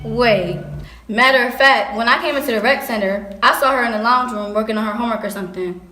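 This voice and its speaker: A woman's voice: on the high side, quick pace, sharp tone. A woman acting a catty, gossiping, slangy teenage girl in a stage play. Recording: echoey stage, faint noise.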